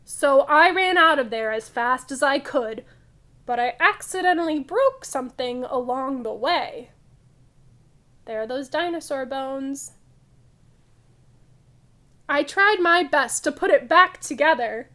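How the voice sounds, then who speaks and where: An excitable young storybook adventurer voiced by a woman reading aloud speaks in a high voice. The delivery is animated and emphatic, yet takes its time over the phrases. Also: slow, pauses often, heavily acted. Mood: excited.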